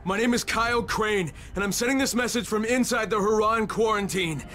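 An actor playing a defiant, sardonic stranded operative in a video game, confronting the people who betrayed him. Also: male voice, sits high, a little fast, pushed and bright. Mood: urgent, grave. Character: defiant stranded male operative turning on his corrupt employers.

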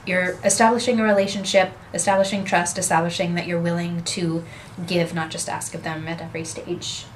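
A woman's voice: lowish voice, level tone, fairly quick, slight background noise, rough recording. A young adult woman presenting a workshop in a small office conference room, explaining steadily and gesturing with her hands, picked up by a camera across the room. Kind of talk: seminar talk.

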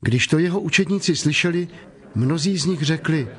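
A man narrating scripture from a script as part of a dramatized reading. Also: fairly high pitch. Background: faint background music.

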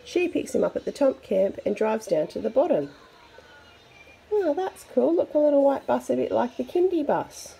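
A woman reading a picture book aloud to young children in an expressive, chatty way. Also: high voice, normal speed, gravelly, breathy edge, poor audio. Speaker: storybook reader for children.

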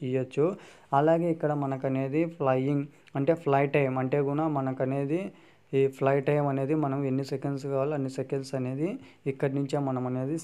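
A man's voice: mid-range voice, dark tone, clear, resonant tone. A man hosting a phone app tutorial, talking through each step and constantly repeating fillers and "friends". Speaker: tech tutorial host. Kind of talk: screen-recorded app tutorial.

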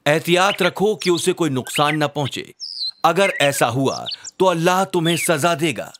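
A man's voice: fairly high pitch, lively intonation, unhurried, background noise, voice pushed up. A man narrating a children's cartoon story, voicing a solemn prophet who instructs his tribe in an emphatic, preaching manner. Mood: solemn, warning.

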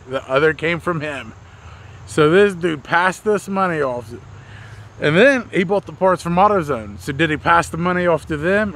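A man's voice: on the high side, sharp tone. An American man in his thirties telling a story casually outdoors to camera, with blunt, slangy delivery. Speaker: mobile mechanic and video host. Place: outdoors in a leafy backyard.

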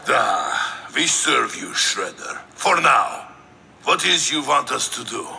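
An actor playing a brutish, angry mutant rhino henchman with a rough, gravelly voice and a Russian accent. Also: mid-range voice, sing-song, slow pace, pushed and bright, heavily acted. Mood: grudging.

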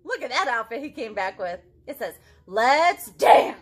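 A woman's voice: on the high side, very animated, a little fast, sharp tone, breathy edge. A woman in her thirties leading a children's storytime, asking simple questions as she talks to young listeners. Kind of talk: interactive picture-book storytime for children. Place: living room at home.

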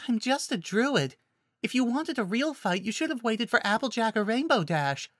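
A narrator voicing a timid, gentle, apologetic young female druid in a fantasy story, soft-spoken, nervous and hesitant. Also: medium pitch, sing-song, moderate pace, no echo, clean studio sound, voice pushed up. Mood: meekly polite. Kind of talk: audiobook-style fantasy story character.

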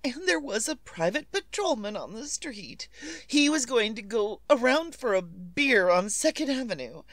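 An actress playing a nervous, demanding, well-to-do invalid wife in old-time radio suspense style, imperious and melodramatic. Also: female voice, on the high side, sing-song, normal speed, no room sound. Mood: agitated, urgent.

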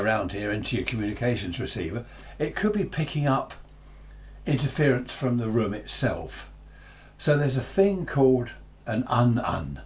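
A man's voice: lowish voice, darkish tone. An older man gives an informal, unscripted explanation, conversational and often repeating or rephrasing himself, as a hobbyist presenter. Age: 60s or 70s. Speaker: amateur radio enthusiast presenting a tutorial. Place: small cluttered home radio workshop, indoors.

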